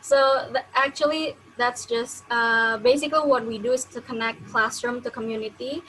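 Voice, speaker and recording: A woman's voice: on the high side, steady tone, normal speed, a bit bright, slight background noise, low-quality recording. A young woman with an Indonesian accent, casually explaining something from home over an internet call. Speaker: workshop participant.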